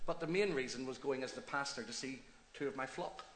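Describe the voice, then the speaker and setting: A man's voice: medium pitch, a little fast, a little hiss or hum. A middle-aged man with a Northern Irish accent, a pastor telling his story chattily on a church stage. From Northern Ireland. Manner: chatty, self-deprecating, animated. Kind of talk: stage interview and preaching.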